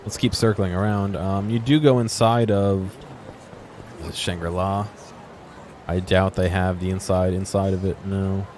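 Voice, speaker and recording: Low-voiced man musing over gameplay, some noise. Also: fairly even intonation, unhurried, a little mellow. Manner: musing aloud, asking himself questions. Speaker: video game streamer and commentator. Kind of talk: casual unscripted gameplay exploration commentary.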